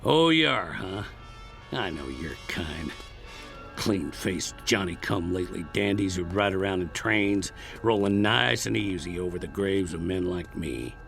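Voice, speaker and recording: An actor playing a hardened Old West killer in a radio drama, gravelly, with rough, scornful cowboy speech. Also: male voice, sits low, animated, measured pace, fairly bright tone, heavily acted. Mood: menacing. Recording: music under the voice.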